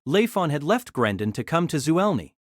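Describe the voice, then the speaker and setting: Expressive audio drama narrator. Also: mid-range voice, moderate pace, no echo, studio quality. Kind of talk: reading a novel as an audio drama.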